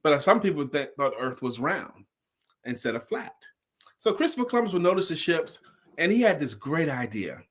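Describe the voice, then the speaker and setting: Higher-pitched man telling a story informally. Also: very animated, moderate pace. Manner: conversational, emphatic, repeats key phrases for effect; acts out dialogue in his own retelling. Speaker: storyteller and sales speaker.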